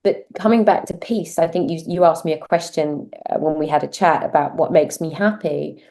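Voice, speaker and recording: Low, mellow-voiced woman guest. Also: moderate pace, vocal fry. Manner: earnest, reflective, speaks of spirituality with conviction. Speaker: podcast guest, transformation mentor. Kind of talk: reflective interview talk.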